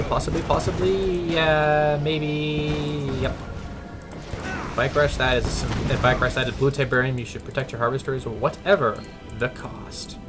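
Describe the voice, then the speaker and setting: A man casting a strategy game replay, halting with fillers and restarts and breaking into bursts of excitement. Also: mid-range voice. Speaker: game commentator. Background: background music and keyboard typing.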